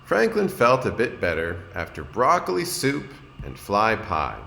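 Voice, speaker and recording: A man, a kindergarten teacher, reading a storybook aloud to young children in an expressive, deliberate way and addressing his listeners. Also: fairly low pitch, vocal fry. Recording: roomy echo, noticeable background noise.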